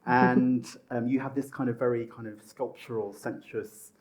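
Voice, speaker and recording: A man's voice: mid-range voice, steady tone, normal speed, crowd noise, low-quality recording. Chatty man hosting a panel. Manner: chatty, many fillers. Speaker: magazine editor, panel host. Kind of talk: moderating a panel. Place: stage at a design event.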